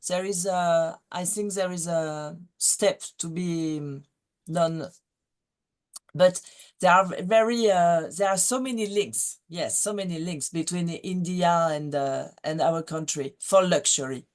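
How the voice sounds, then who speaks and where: A woman's voice: lowish voice, measured pace. A woman author speaking as a webinar guest, hesitant and searching for words. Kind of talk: webinar panel talk.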